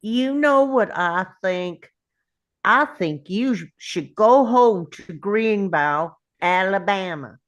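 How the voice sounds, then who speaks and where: A woman at a table read playing a simple, earnest, gentle young man in love, speaking slowly. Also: mid-range voice, animated. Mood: pleading.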